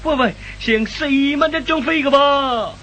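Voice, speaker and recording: A man's voice: extremely high pitch, unhurried, pushed and bright, full character voice. A man acting a dim-witted, hapless boyfriend in a comic Hong Kong Cantonese radio play, stammering, exclaiming and flustered. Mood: flustered pleading. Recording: old radio recording with faint noise and hum.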